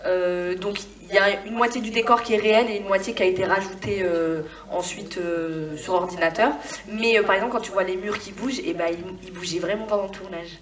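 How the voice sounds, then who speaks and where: A woman from Perpignan chatting in a press interview, quick and playful. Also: mid-range voice, steady tone. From Perpignan, France. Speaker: actress at a film premiere. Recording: distant, roomy mic with a crowd behind.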